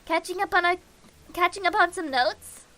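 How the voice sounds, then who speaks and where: A woman's voice: very high voice, normal speed, sharp tone, no room sound, rough recording. A woman streaming a visual novel, reading every character's lines and the narration aloud herself, with frequent small stumbles and restarts. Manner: reads all parts herself with small restarts and slips.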